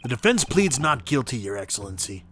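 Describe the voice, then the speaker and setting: A man's voice: medium pitch, sing-song, a little fast, fairly bright tone. A man voicing a firm, loyal young defense lawyer in a courtroom game dub, impassioned and formal. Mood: defiant.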